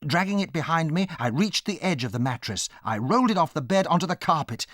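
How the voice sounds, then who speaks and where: A man's voice: fairly high pitch, big pitch swings, quick pace, fairly bright tone, no echo, clean studio sound. A man acting as the narrator of a children's audio drama, recalling his own adventure with dramatic storytelling. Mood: absorbed, suspenseful.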